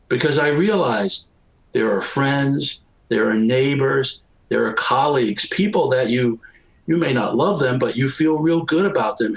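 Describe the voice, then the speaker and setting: A man's voice: mid-range voice, low-quality recording. Emphatic American man, earnest speaker. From United States. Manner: emphatic, repeats phrases for effect. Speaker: panel speaker. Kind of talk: personal, earnest panel remarks.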